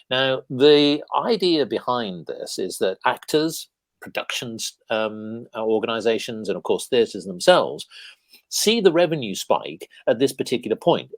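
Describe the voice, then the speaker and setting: A man presents as a talk show co-host with very lively, swooping intonation. He addresses his listeners directly and a little theatrically, in conversational commentary. The sound is dry and close. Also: fairly low pitch, dry recording. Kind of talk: conversational radio-style commentary.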